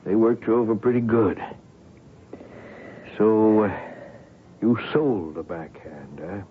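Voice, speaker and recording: An actor playing a protective middle-aged father and sports coach in a radio drama, with colloquial, fussing, halting speech. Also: lowish voice. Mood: wry, knowing. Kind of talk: vintage radio drama acting. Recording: tinny, noisy old radio broadcast.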